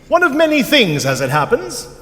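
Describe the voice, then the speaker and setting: An actor playing a jolly, boastful, troll-like night spirit in a staged musical reading, theatrical and playful in both speech and song. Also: male voice, extremely high pitch, wildly swooping pitch, moderate pace, exaggerated voice. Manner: theatrical, playful, grandiose phrasing. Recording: live school stage, long reverb, loud music.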